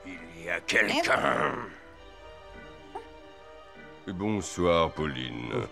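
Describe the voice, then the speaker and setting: A man's voice: low-pitched, animated, takes it slowly, bright, forceful tone, soft background music, heavily acted. A man voicing a solemn animal neighbour in a French children's cartoon, with an emphatic way of talking. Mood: surprised.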